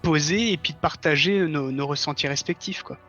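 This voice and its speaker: A man's voice: on the high side, moderate pace, a bit bright, faint music behind. A man talking as a guest in a casual, reflective conversation, explaining earnestly and rephrasing as he goes. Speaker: interview guest, fiction writer. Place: live online stream. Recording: dull lo-fi voice link.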